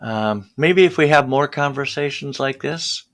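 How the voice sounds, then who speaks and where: A man hosting a podcast, talking in an earnest, halting way and often repeating his words. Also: medium pitch, fairly bright tone. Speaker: podcast host. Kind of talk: conversational interview.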